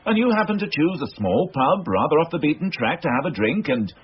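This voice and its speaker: An actor playing a politely sceptical police inspector in a radio crime drama, measured and courteous with pointed questions. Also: male voice, a little high, very animated, husky. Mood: probing. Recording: dull, faintly noisy radio recording.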